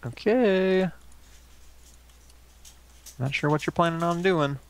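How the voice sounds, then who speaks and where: A man chatting casually while playing a game, teasing and quick to laugh, on a headset mic. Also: fairly high pitch. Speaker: let's play co-host. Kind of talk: casual gaming chat.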